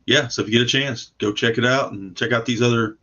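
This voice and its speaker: A man speaking as a guest in a casual interview, in a halting, plain-spoken way. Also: medium pitch, steady tone, pushed and bright, dull audio. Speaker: guest comic book creator.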